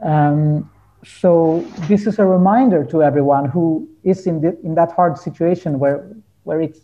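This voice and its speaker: A man talking reflectively as an interview guest, earnest and halting as he searches for his words. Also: on the high side, dull audio. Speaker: guest musician.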